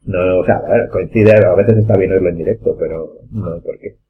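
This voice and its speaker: Low-voiced Spanish man, hesitant talk. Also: brisk. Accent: Spanish from Spain. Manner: hesitant, many restarts and trailing phrases. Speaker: podcast host. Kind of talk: casual chat. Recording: dull lo-fi sound with faint hum.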